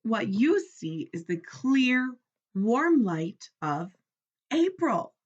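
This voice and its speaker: A woman's voice: fairly high pitch, very animated, drawn-out words, dull audio, pitched above the performer's own voice, exaggerated voice. A woman voicing an eager, cheerful male frog in a children's storybook, coaxing and insistent. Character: eager, cheerful male frog urging his friend awake.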